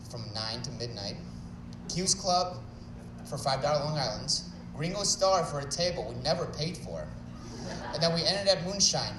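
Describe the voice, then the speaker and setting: A young man with a Chicago accent gives a wedding speech full of jokes at his own expense, mumbling a bit as he talks. His voice sits a little high and sounds distant in a big echoey hall over a steady hum of guests. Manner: joking, self-deprecating, nervous at first. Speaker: wedding speaker. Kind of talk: wedding toast.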